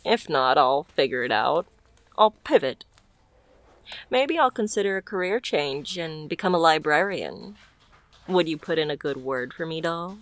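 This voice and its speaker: Woman doing a flirty mafia boss lady for asmr roleplay, low voice, takes her time, teasing and smooth. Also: expressive, no echo. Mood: flirtatious, charming. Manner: teasing, smooth-talking, unhurried.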